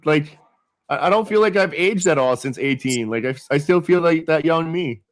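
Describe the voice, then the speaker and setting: A man's voice: on the high side, expressive, moderate pace. A man in his mid thirties co-hosting a comedy podcast, rambling and leaning on the word "like" constantly. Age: 30s. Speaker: podcast co-host. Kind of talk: casual comedy podcast chat.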